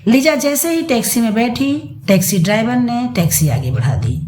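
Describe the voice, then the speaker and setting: A woman narrating a scripted audio story in a dramatic, deliberate storytelling manner. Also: on the high side, lively intonation, a little mellow, slight background noise.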